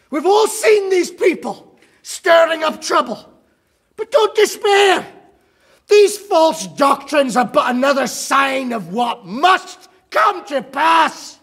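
An actor playing a fanatical medieval preacher in a radio drama, orating slowly and fervently to a crowd. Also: male voice, very high-pitched, creaky, fairly bright tone, exaggerated voice. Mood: fiery exhortation. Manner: slow, fervent, theatrical oratory. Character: fanatical male crusade preacher whipping up a crowd. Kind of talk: historical radio drama acting. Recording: crowd murmur behind.

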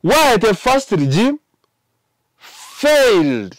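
A young Kenyan man with a Kenyan accent, giving animated casual commentary to camera from a small indoor studio, with many fillers and mixed languages. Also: sits high, very slow, dry recording. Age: young adult. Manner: animated, mixing English and Ekegusii, many fillers.

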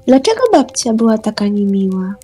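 A woman playing a curious young child in an amateur audio drama, with drawn-out, questioning speech. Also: medium pitch, animated, mellow, breathy edge, faint music behind. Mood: puzzled.

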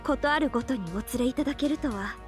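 A woman's voice: sits high, fast talker, lightly acted. A woman voicing a polite, loyal, secretive young oni warrior in a mobile game, with formal and humble speech. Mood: gentle, devoted. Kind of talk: Japanese mobile game character voice acting. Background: game background music.

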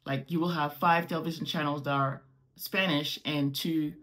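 Animated woman chatting to camera. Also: deep voice, normal speed. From Morocco. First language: French. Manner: animated, gestures with hands while explaining. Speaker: travel vlogger. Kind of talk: casual talk to camera. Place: small home room indoors.

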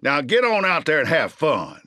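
A man's voice: fairly high pitch, big pitch swings, normal speed, sharp tone, no echo, clean studio sound. A man voicing a jovial, folksy older plush bear in a video game, with a chummy, inviting manner. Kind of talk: video game character dialogue.

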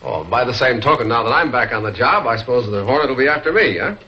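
An actor playing a decisive newspaper publisher who is also a masked crime fighter, in a 1940s serial. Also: male voice, mid-range voice, expressive, fast, natural voice. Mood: businesslike. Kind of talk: 1940s film serial acting. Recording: muffled 1940s serial soundtrack, loud music.

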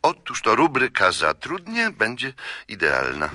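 An eccentric, exacting gentleman of radio drama. His lowish voice is intensely sharp and very animated, dictating formally in a dry acoustic. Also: dry recording, full character voice. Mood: insistent, emphatic. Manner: deliberate, formal, emphatic dictation. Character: precise, insistent eccentric gentleman placing an advert.

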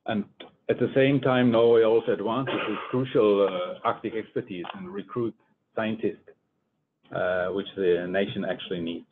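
An older man from Germany gives a research presentation in English as a scientist, halting and deliberate. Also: fairly even intonation. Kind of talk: conference presentation. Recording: muffled phone-like line, rumbling noise.

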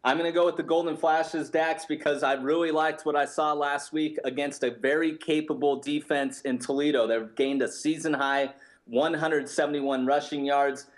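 A man in his thirties giving confident sports betting analysis in a studio, steady and packed with stats. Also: fairly high pitch, normal speed. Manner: confident, steady, stat-heavy. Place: studio set, indoors.

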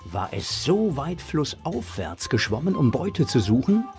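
A man narrating a children's adventure story from a script in a suspenseful, measured way. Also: medium pitch, big pitch swings, music in the background.

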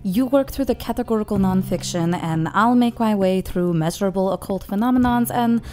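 An actress playing a solemn, determined young woman versed in the occult, speaking formally and gravely in an audio drama. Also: mid-range voice, fairly quick, darkish tone, lightly acted. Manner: formal, grave, theatrical diction. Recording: faint music behind.

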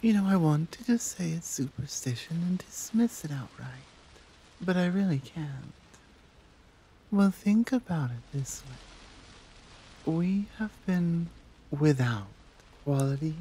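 A woman playing a caring, earnest tigress monk in a soft-spoken ASMR roleplay, with a breathy, measured, confiding delivery. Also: deep voice, heavily acted, rough recording.

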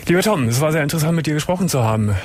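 A middle-aged man hosting a late-night radio call-in show from a studio, asking calm, probing questions and murmuring agreement. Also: on the high side, very fast, no room sound. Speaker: radio talk show host. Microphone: studio mic with headphones.

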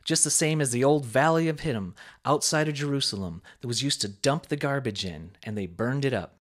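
A man reading a sermon aloud with a measured, emphatic preaching cadence. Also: mid-range voice.

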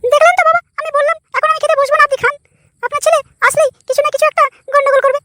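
A dutiful young daughter-in-law from a comedy cartoon. The voice is extremely high, squeaky and bright, racing along in a placating, pleading way. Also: female voice, steady tone, very fast, slight background noise. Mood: complaining. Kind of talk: comic cartoon dialogue.

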